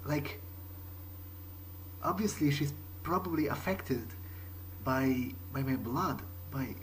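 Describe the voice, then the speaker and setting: A man speaking in character through a game playthrough, halting and often repeating himself. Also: medium pitch, lively intonation, noisy background. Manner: hesitant, halting, repeats words. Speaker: role-playing gamer. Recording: loud music behind.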